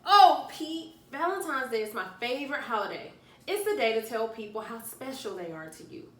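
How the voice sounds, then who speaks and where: A woman's voice: a little high, sing-song, normal speed, fairly bright tone. A woman voicing a cheerful, encouraging young female cat, emphatic and reassuring, in a children's storybook read-aloud. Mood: insistent. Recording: roomy, distant mic, faint noise.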